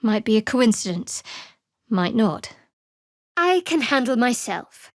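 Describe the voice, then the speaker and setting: Fantasy game role: wry, guarded young priestess of a dark goddess, lightly high voice, cool delivery, very dry recording. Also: female voice, very animated, slow, no room sound. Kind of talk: fantasy video game voice acting.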